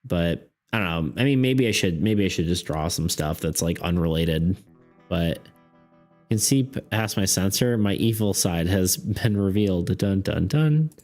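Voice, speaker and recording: A man's voice: sits low, lively intonation, measured pace, darkish tone. A young man streaming casually about art and game design, rambling with lots of fillers and laughs, saying he is losing his voice. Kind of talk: casual live-stream chat. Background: faint background music.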